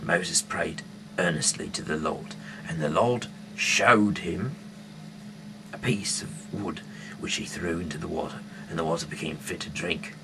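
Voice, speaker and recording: Very deep-voiced man over noisy background hum. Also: measured pace, sharp tone. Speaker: host reading the Bible aloud. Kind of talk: informal scripture reading with casual asides. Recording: noisy amateur recording with hum.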